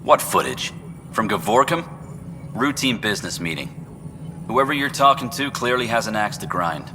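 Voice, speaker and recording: An actor playing a smug, slippery male assistant director in a video game, talking smoothly and brushing things off. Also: mid-range voice, animated, moderate pace, big-room sound, noisy background, lightly acted. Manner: smooth, dismissive.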